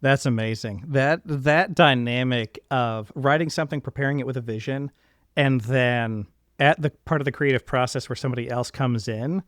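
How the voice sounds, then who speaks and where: A man's voice: mid-range voice, no room sound, studio quality. A man hosting a casual interview podcast, talking in an earnest, effusive and wordy way. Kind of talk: casual, reflective interview conversation.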